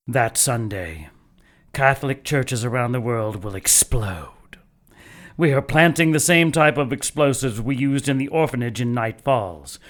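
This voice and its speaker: An actor playing a fanatical cult leader in an audio drama, lecturing in a measured, contemptuous and gloating way. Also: male voice, mid-range voice, natural voice. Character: fanatical cult leader brother plotting apocalypse, calm and contemptuous. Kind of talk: audio drama villain monologue.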